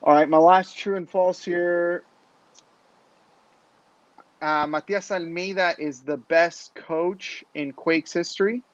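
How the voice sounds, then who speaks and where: Guy hosting a casual sports podcast, voice a bit high, dry but kinda muffled lo-fi audio. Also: steady tone, slow, long pauses, no room sound. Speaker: podcast host and interviewer. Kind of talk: casual live sports interview.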